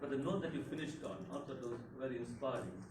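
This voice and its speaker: A man's voice: medium pitch, fairly even intonation, fast. A middle-aged man from Tanzania, an elected politician, giving an unscripted speech at a community event, hesitant with many fillers and restarts. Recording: echoey room, mic far off, background noise.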